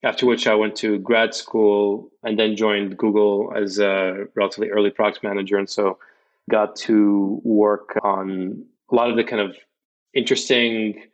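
A man in his forties from Morocco, a company founder telling his story as an interview guest in a measured, reflective way with fillers and restarts. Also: on the low side, flat delivery, muffled recording. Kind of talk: casual interview.

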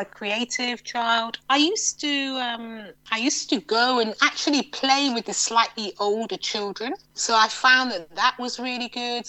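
A woman's voice: medium pitch, poor audio. A middle-aged woman from England, answering interview questions thoughtfully and often restarting her sentences. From northern England. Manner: thoughtful, with frequent restarts and a lively rising voice. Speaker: guest, psychologist and yoga teacher. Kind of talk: interview conversation.

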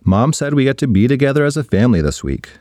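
A man voicing a lazy, carefree young boy who brags in a relaxed way. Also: medium pitch, fast, dry recording, clean studio sound, close to an everyday voice. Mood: laid-back. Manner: laid-back, boastful.

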